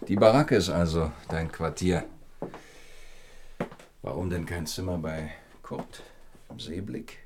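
A man's voice: deep voice, slow, long pauses, darkish tone, a little hiss or hum. An actor playing a cocky, evasive disc jockey in his thirties, with laconic replies in a German radio play. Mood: sheepish, evasive. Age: 30s.

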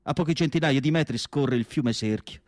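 An actor playing a reflective, melancholic asylum doctor writing his diary, in a solemn, measured radio drama monologue with deliberate pauses. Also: mid-range voice, a little mellow, no echo, clean studio sound, natural voice. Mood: reflective, recollecting.

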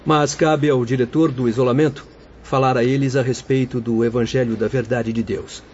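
An actor playing a courteous, earnest doctor and spirit guide in a radio drama, with formal declamatory delivery. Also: male voice, mid-range voice, fairly even intonation, moderate pace, darkish tone, lightly acted. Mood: earnest, grave. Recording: dull lo-fi audio, clear background noise.

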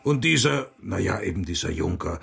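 An actor playing a wry, defiant monk and reformer in a German historical radio drama, musing and pausing for effect. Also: male voice, low-pitched, lively intonation, a bit bright, faint music behind, exaggerated voice. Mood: sly, musing. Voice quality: gravelly, creaky.

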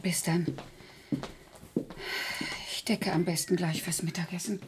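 An actress in a radio crime drama playing a bustling, practical housekeeper who chatters and gossips. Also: female voice, lowish voice, steady tone, a little slow, background noise, natural voice. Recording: clattering cutlery and dishes.

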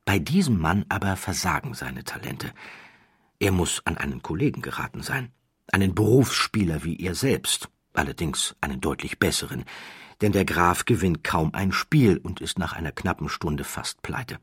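A man narrating an audiobook from a literary script, with measured, dramatic phrasing. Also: sits low, very animated, a bit bright, slightly rough, no echo, clean studio sound.

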